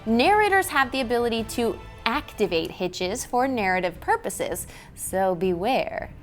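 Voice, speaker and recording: Animated, higher-voiced woman presenting game rules. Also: unhurried. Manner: animated, clearly enunciated presenter delivery. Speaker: tabletop game show host explaining rules. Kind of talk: scripted instructional narration. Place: dressed indoor studio set. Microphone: clip-on lapel mic. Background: background music.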